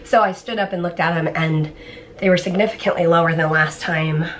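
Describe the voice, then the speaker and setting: A woman's voice: fairly low pitch, steady tone, fairly quick. A woman in her thirties talking casually to a vlog audience, telling things in a chatty way with asides. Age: 30s. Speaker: vlogger, cystic fibrosis patient. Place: moving car and hospital clinic room. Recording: background noise, car and room ambience.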